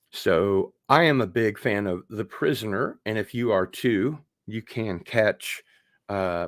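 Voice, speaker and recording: A man's voice: on the low side, dry recording, studio quality. A man hosting a casual unboxing livestream, rambling along with wry asides. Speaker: host of an online show.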